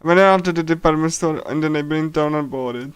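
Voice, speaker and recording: A man livestreaming a game, casually commenting and reading aloud in a halting way, often repeating himself and stumbling. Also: on the high side, clean tone, dry recording. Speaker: streamer. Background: game music.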